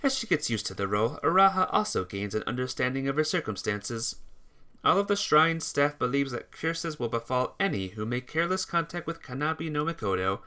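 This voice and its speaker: A man giving an expressive, theatrical reading of a visual novel as narrator, occasionally stumbling over words. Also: medium pitch, fairly quick, clear, resonant tone.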